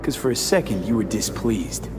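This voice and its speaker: An actor playing a weary, tough mercenary in a cyberpunk game, speaking in clipped street talk. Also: male voice, lowish voice, expressive, normal speed, over music, lightly acted. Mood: tense, determined. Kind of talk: video game voice acting.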